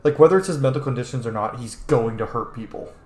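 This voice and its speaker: A man's voice: medium pitch, quick pace, darkish tone. A man giving casual, blunt and profane commentary as he plays a video game. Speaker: gamer hosting a playthrough. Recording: roomy echo, faint noise.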